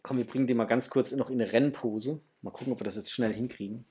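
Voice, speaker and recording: Husky man, casual and enthusiastic. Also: mid-range voice, steady tone, quick pace, mellow. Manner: enthusiastic, exclamatory, muttering to himself while handling things. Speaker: host of a toy unboxing channel. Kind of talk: casual unboxing chat to viewers. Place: small indoor hobby room.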